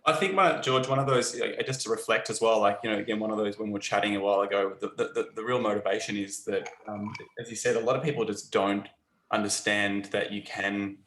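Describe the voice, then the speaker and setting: A man's voice: on the low side, fairly even intonation, quick pace, a bit bright. A man with an Australian accent leading a design studio, speaking in a hesitant panel chat with repeated words. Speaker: agency leader, panelist. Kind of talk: conversational panel talk. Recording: echoey room.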